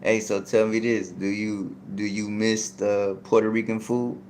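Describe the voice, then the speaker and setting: A man hosting a casual chat with a deep, gravelly voice. He is easygoing and enthusiastic, peppering his talk with slang. Faint hiss runs behind him. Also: flat delivery, a little slow, a little mellow. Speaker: podcast host.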